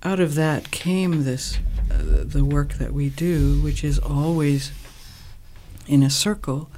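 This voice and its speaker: A woman of sixty-eight explaining her work as an interview guest, in a measured, halting, thoughtful way. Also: deep voice, fairly even intonation, warm tone, a little hiss or hum. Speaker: interview guest, co-founder of a women's program.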